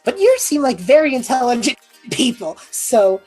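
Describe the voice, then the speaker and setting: A woman playing an awkward, flippant young male time traveler in a halting, rambling, sheepish way. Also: high-pitched, sing-song, dark tone, breathy edge, soft background music, heavily acted.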